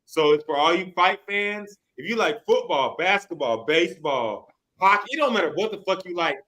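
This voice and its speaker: Bright-voiced man hosting a sports podcast. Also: high voice, expressive, normal speed, poor audio. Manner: slangy, profane. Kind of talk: casual sports chat.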